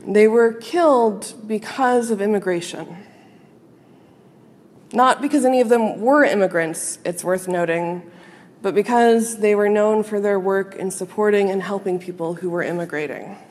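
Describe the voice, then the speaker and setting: A woman minister giving a sermon to a congregation, speaking in a measured, earnest and reflective way with pauses. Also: medium pitch, a little mellow. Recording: long reverb of a large hall.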